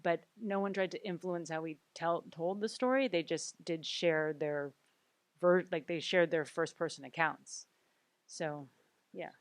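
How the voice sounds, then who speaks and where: A woman director answering audience questions at a cinema panel, halting and full of fillers and restarts. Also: deep voice, no room sound. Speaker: film director. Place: cinema auditorium.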